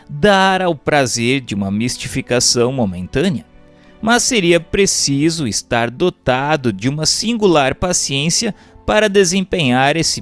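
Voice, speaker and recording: A man narrates a book in a formal, didactic way. His delivery is measured and scripted, at an average male pitch, with music playing behind him. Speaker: audiobook narrator. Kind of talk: scripted narration, reading a religious book aloud.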